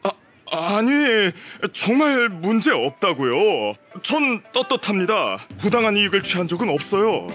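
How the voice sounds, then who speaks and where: A shifty merchant from a video game, with a high male voice that swings up and down a lot. He is defensive and blustering, over faint background music. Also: sing-song, measured pace, fairly bright tone, exaggerated voice. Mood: flustered, panicked. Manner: stammering, flustered, indignant.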